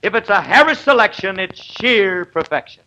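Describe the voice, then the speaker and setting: A man's voice: high-pitched, animated, moderate pace, pushed and bright, rough recording. A man playing a bandleader on 1930s radio comedy, cocky and brash in his wisecracks.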